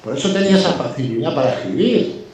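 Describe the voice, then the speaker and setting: A man's voice: on the high side, big pitch swings, fast, a little mellow. A man with an accent from Spain telling anecdotes casually, in an effusive, colloquial way with swearing. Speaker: guest. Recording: very echoey room, distant mic, faint noise.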